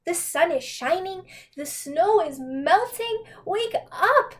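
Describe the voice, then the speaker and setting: A woman's voice: very high-pitched, expressive, slow pace, pitched above the performer's own voice, heavily acted. A woman voicing an eager, cheerful male frog who coaxes and calls out in a children's storybook. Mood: excited, calling out. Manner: calls out loudly and brightly, coaxing. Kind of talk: children's storybook read-aloud.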